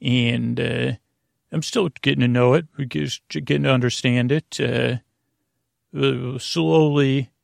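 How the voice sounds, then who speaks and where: A man with a gravelly voice hosting a sleep podcast in a meandering, halting monologue, often interrupting himself and drifting off on tangents. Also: medium pitch, steady tone, no echo, studio quality. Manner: meandering, halting, digressive, trailing off and self-interrupting. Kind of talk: rambling bedtime podcast monologue.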